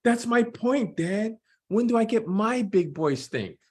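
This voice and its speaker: Man voicing an anxious young teen boy, pitched up. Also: animated, unhurried, a little mellow. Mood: fretful. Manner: earnest, fretful, youthful. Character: anxious, earnest thirteen-year-old schoolboy. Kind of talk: children's graphic novel read-aloud.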